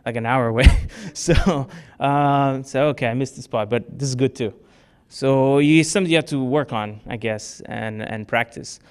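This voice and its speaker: A man's voice: medium pitch, moderate pace, hardly any pauses, clear, resonant tone. A man from Portugal giving a talk to a club audience, answering questions in a casual way with many fillers and restarts. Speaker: speaker. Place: club meeting room.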